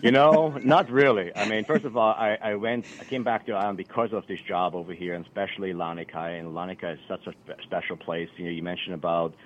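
Deep-voiced man on lo-fi radio. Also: quick pace, hardly any pauses, slight background noise. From Austria. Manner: relaxed, rambling, many fillers. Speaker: country club general manager, guest. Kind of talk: casual radio interview. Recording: dull lo-fi radio broadcast.

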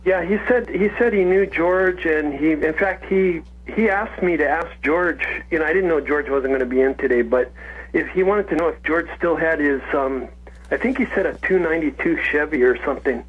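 Sixty yr old guy rambling on a call-in line, kinda husky, muffled phone sound, bit high voice. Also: normal speed. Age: 60s. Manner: chatty, rambling, laughs often. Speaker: caller. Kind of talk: casual call-in chat.

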